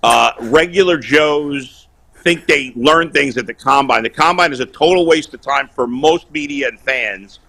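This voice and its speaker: A man calling in to a sports talk show, loud, blunt and opinionated, bantering casually over a video call. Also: mid-range voice, measured pace, gravelly. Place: remote video call while on vacation.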